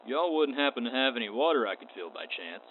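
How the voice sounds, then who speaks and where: A man's voice: medium pitch, animated, fast, close to an everyday voice. Man as a laconic cowboy drifter, tinny audio. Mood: courteous, bargaining. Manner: laconic, polite cowboy drawl. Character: courteous, wry, unflappable male wandering space cowboy. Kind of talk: radio play space western acting. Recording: tinny band-limited audio with clear noise.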